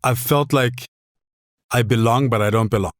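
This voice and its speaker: A man's voice: mid-range voice, moderate pace, no echo, studio quality. A man in his forties, a podcast host from Southern Africa, asks reflective questions in a thoughtful, hesitant way and often restarts his sentences.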